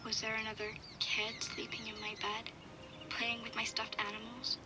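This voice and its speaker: A woman playing a wary, reflective young girl who narrates an audio diary in a measured, matter-of-fact way. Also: a little high, monotone, over music, lightly acted. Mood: wistful, uneasy. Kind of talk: first-person audio log narration.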